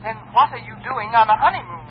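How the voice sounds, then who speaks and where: An actress playing a breezy, wisecracking roommate with quippy delivery in a 1940s radio comedy, on a muffled, noisy recording. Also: female voice, fairly high pitch, lively intonation, quick pace, close to an everyday voice. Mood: wry, puzzled.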